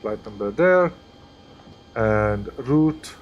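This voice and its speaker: A man's voice: lowish voice, expressive, very slow, highs cut off, slight background noise. A man with a Hungarian accent, streaming live and commenting casually as he thinks aloud through each step. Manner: chatty, thinks aloud while working through steps. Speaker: flight sim streamer.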